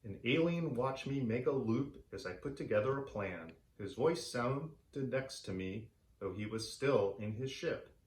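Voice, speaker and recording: Male storyteller for kids' read-aloud, mid-pitched, theatrical and expressive, recorded in a roomy echo. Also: unhurried, hardly any pauses. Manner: animated, theatrical delivery for young listeners. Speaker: storyteller reading a picture book to children.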